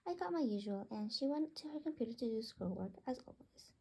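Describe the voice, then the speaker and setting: Young female narrator for a read-aloud story; high-pitched, husky, soft-edged tone. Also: lively intonation, fairly quick. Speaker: author reading own story aloud.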